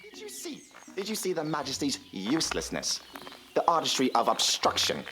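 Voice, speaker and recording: Man playing an excitable conspirator, wildly swooping voice. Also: a little high, moderate pace, faint music behind, exaggerated voice. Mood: excited, conspiratorial. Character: excitable male ally urging Arthur to hunt for truth. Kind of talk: audio drama acting of Victorian period fiction.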